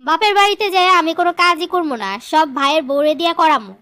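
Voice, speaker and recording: A very high, sharp-voiced cartoon sister-in-law put on by a woman. She is bossy and entitled, issuing demands in colloquial Bangladeshi speech. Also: quick pace, dry recording, studio quality. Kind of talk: cartoon drama dialogue.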